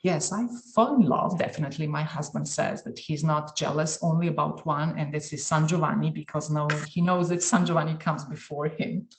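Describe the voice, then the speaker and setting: A woman in her forties from Croatia, a guest in a casual interview, who often pauses and hunts for words. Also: sits low, fairly even intonation, reverberant, low-quality recording. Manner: searching for words, frequent fillers.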